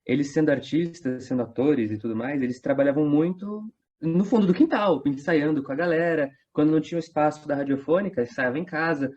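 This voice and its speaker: A man's voice: a little high, fairly quick, a little mellow. Young São Paulo man, dull lo-fi audio. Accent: Brazilian, São Paulo state. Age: 20s. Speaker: theatre company actor, interview guest. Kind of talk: casual interview chat.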